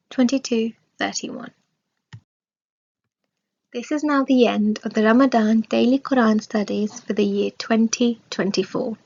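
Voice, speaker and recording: Woman w higher voice reading religious study script, formal and measured, kinda muffled lo-fi recording. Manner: measured, formal read-aloud delivery. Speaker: reader of religious study text. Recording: dull, lo-fi sound.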